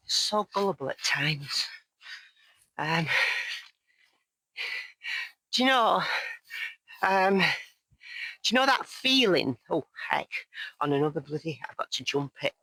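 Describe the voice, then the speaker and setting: A hoarse woman chats to her viewers on a mountain path, rambling and poking fun at herself. Her voice is bright and lively in pitch, and she takes her time. The sound is dry and close. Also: slow, long pauses, no echo, rough recording. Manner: chatty, rambling, jokey and self-mocking. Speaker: vlogger. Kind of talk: casual chat to camera while hiking.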